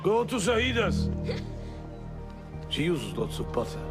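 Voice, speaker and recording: An actor playing a weary older man in a video game, a gruffly caring, cautious mentor. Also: sits high, sing-song, slow, over loud music, heavily acted. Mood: fond scolding. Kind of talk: video game cutscene acting.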